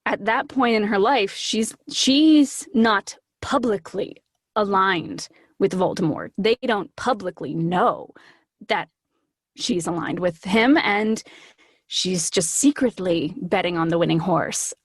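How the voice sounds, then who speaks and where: A woman speaking as an interview guest, telling things in an animated, casual, storytelling way. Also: medium pitch, slow pace, husky, dry recording, clean studio sound.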